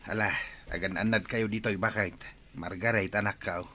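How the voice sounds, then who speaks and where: A man's voice: lowish voice, steady tone, normal speed, sharp tone. A man with an Ilocano accent playing a stern older village father and landowner in a radio drama. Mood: instructing.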